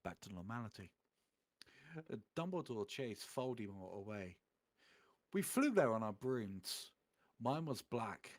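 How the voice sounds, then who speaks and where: Man giving a lively, theatrical comic reading. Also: on the low side, measured pace, long pauses. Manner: theatrical, putting on voices for quoted lines. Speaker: reader of fan fiction on a comedy stream. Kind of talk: comedic dramatic reading of amateur fan fiction.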